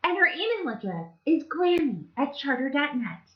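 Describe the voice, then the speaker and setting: A woman reading a storybook, voicing an eager little girl in a red cloak with a high, pleading singsong voice, in a dull, echoey room. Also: very animated, normal speed.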